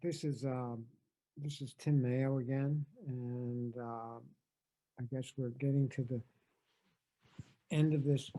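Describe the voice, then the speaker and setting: A man with a dark, mellow voice of medium pitch speaks very slowly. His delivery is deliberate and measured, as at an informal poetry reading on a video call. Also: steady tone, rough recording. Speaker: poet, reader at a tribute event.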